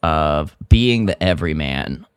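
A man's voice: very deep voice, expressive, measured pace, smooth, dry recording, clean studio sound. A man co-hosting a podcast in casual review chat, exasperated and critical, slipping into mock quotes as he goes. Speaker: podcast co-host.

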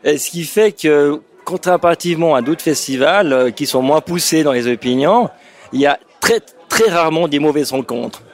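A man's voice: a little high, expressive, normal speed. A man from Switzerland, a festival attendee, chatting casually in a vox-pop interview.

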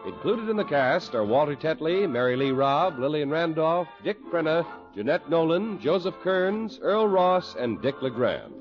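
American guy doing old radio announcing, animated scripted read, husky, muffled with music. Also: fairly high pitch, normal speed, hardly any pauses.

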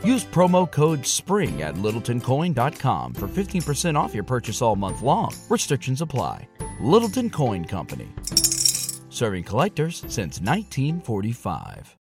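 A man's voice: mid-range voice, wildly swooping pitch, normal speed, over music. A man reading a scripted advertisement as a commercial announcer. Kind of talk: scripted ad read.

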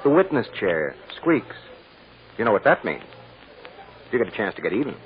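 A confident American defense lawyer in a vintage radio play, teasing and dryly humorous. His lowish voice swings freely in pitch. The recording is muffled, with clear background hiss and noise. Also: sing-song, normal speed. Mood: playful, teasing. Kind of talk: 1940s-50s American radio drama acting.

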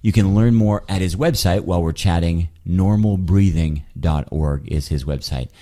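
A man's voice: sits low, normal speed, mellow. A male podcast host introducing his guest and putting direct questions to him. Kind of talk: interview questions.

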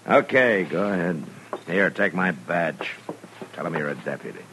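A stern, weary frontier lawman in a 1950s radio western. His voice is extremely deep and his delivery terse and commanding. A faint noise sits on the recording. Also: lively intonation, moderate pace. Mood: dry, weary.

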